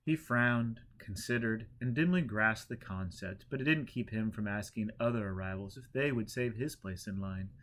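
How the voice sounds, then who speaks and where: Expressive man narrating a story. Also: lowish voice, moderate pace, hardly any pauses. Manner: expressive, acts out characters' dialogue. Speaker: audiobook reader.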